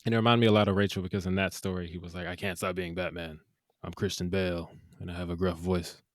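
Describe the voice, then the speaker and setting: Animated man co-hosting a movie podcast. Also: low-pitched, no room sound, clean studio sound. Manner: animated, jokey, quick asides. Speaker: podcast co-host. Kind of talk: casual movie review chat.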